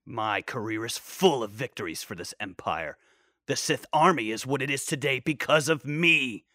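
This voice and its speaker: A man's voice: medium pitch, animated, moderate pace, bright, forceful tone, dry recording, clean studio sound. A man playing a proud, defiant Imperial general in an audio drama, pompous and indignant. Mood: proud defiance.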